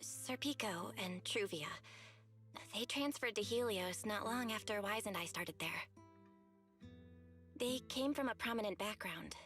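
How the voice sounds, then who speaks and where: Young game heroine played by an actress: sincere, plain-spoken, haunted, mid-pitched, light music behind. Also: female voice, measured pace, long pauses, fairly bright tone, close to an everyday voice. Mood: wistful, recounting. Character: earnest young woman haunted by her school past. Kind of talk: video game voice acting.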